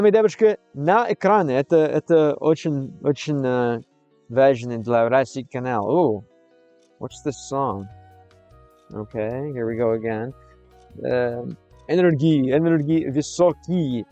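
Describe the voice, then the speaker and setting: A young American man chatting with his stream viewers, switching between English and halting Russian, pausing often as he reads the chat. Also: medium pitch, mellow, no echo. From United States.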